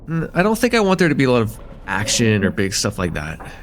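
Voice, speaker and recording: Man acting as a friendly college guy, lively pitch. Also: a little fast, slight background noise. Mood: thoughtful. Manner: casual, hesitant, conversational with soft teasing. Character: friendly, teasing college-age man chatting with close friend. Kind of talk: slice-of-life ASMR roleplay acting.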